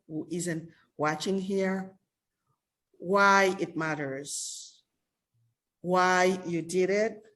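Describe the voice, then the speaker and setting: A woman from Haiti moderating a panel conversation, speaking deliberately and with emphasis. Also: fairly low pitch, fairly bright tone.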